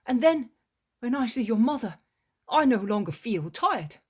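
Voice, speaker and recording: Excited, breathless fisherman disciple, high and loud, rushing with news, as a woman puts him on; airy voice. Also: slightly rough, heavily acted. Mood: eager. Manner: urgent, agitated.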